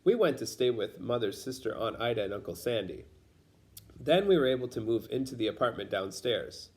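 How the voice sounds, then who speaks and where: A man's voice: medium pitch, animated, brisk, darkish tone. Man reading a children's picture book aloud. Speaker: storybook reader.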